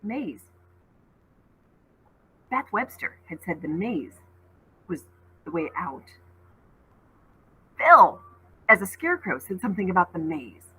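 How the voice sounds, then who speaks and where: A woman teacher reading a novel aloud to students, expressive, stopping for short explanatory asides. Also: fairly low pitch, slow pace, long pauses, husky, rough recording. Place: school classroom.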